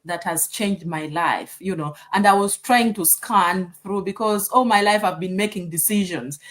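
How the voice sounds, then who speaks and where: A woman's voice: medium pitch, moderate pace, a bit bright. Woman guest on a live chat, friendly, mid voice, lots of uh and you know. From Uganda. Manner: friendly, thinking aloud with fillers. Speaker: guest, nurse and business mentor. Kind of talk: casual chat.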